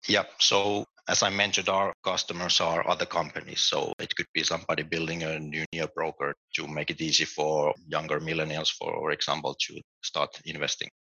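A middle-aged man speaks in an extremely low, gravelly and flat voice, yet with a sharp, bright edge. He explains things steadily, with small restarts. The sound is dry and a bit dull, like lo-fi remote audio. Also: brisk, few pauses, dry recording. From Finland. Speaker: guest, tech executive. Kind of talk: podcast interview answers.

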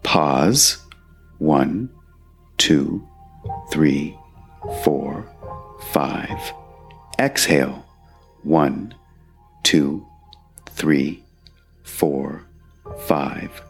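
A man guiding a meditation in a calm, measured way, leaving long pauses between phrases. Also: rumbling low, gravelly. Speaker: meditation guide. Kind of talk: guided meditation narration. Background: background music.

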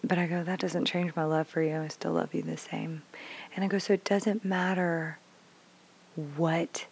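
A woman in her thirties candidly telling parenting stories, swearing freely and acting out dialogue, dropping to whispers and then bursting out loud. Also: deep voice, flat delivery, measured pace, gravelly, a little hiss or hum. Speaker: mother sharing parenting stories. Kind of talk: candid casual storytelling.

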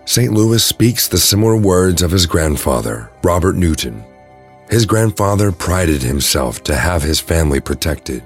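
A man's voice: deep voice, big pitch swings, measured pace, breathy edge. A man reading scripted narration for an audio drama as its storyteller. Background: music.

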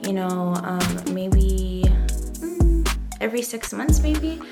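A woman from Hawaii, hosting her own podcast, talking slowly and gently with many ums and pauses. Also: mid-range voice. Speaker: podcast host. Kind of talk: casual solo podcast monologue. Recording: loud background music.

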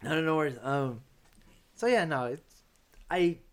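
A man's voice: a little high, expressive, a little slow. A young man talking casually as a podcast guest, rambling with lots of fillers and restarts.

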